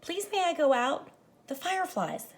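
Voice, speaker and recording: A woman voicing an eager, excitable little boy from a picture book, exclaiming his lines. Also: extremely high pitch, moderate pace, voice pushed up.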